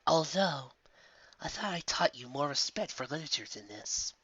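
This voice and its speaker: A narrator voicing a calm, regal female pony princess and mentor in a fantasy story, speaking in a measured, hushed way. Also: medium pitch, slightly rough, no echo, highs cut off. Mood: wry.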